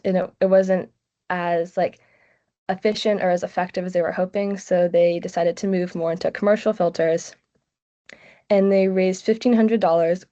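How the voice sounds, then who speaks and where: A woman's voice: fairly low pitch, mellow. A sixteen-year-old girl from the United States, giving a casual student presentation with lots of "like" fillers. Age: teens. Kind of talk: online presentation. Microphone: video call. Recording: muffled lo-fi video call audio.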